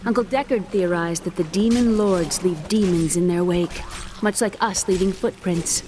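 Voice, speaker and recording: A woman's voice: lowish voice, moderate pace, a little mellow, slight background noise, natural voice. An actress playing a studious, earnest young woman in a video game. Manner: thoughtful, earnest.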